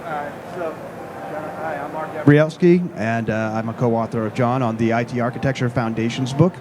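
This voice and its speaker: A man's voice: medium pitch, normal speed, a crowd in the background. Hesitant man on a conference panel. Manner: hesitant, many fillers. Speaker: co-author on a panel. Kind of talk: panel discussion. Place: large conference stage.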